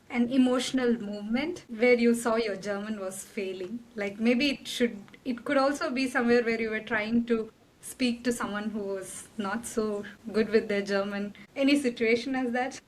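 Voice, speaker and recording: Woman host, slightly high voice. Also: steady tone, normal speed, rough recording. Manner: hesitant, rephrases questions. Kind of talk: casual interview.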